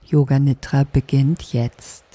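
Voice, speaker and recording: A woman leading a guided sleep meditation as a yoga nidra teacher, speaking softly in a calming, deliberate way. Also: very deep voice, dry recording. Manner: soft, calming, deliberate instruction.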